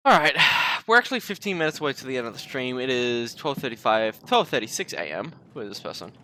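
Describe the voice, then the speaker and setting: A man's voice: mid-range voice, very animated, quick pace, sharp tone, a little hiss or hum. A young man winding down a live gaming stream, chatting casually and stumbling over his words. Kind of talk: casual end-of-stream chat.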